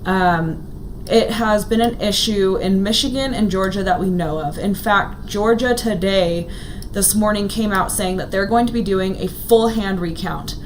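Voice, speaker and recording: Slightly hoarse woman vlogging casually. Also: mid-range voice, slight background noise, low-quality recording. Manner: chatty, earnest, many ums and restarts. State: a little hoarse. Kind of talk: casual talk to camera to live viewers.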